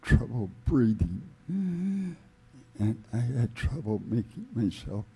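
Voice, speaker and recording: A man in his seventies introducing himself in a halting way, with many repeated starts. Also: medium pitch, very animated, warm tone, husky. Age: 70s. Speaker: conference attendee. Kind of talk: self-introduction.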